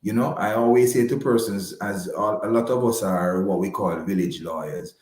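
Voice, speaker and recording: A man speaking as an interview guest, measured and courteous, with slightly formal wording. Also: on the low side, steady tone, a little mellow, echoey room. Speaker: interview guest, financial advisor. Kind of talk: conversational radio interview.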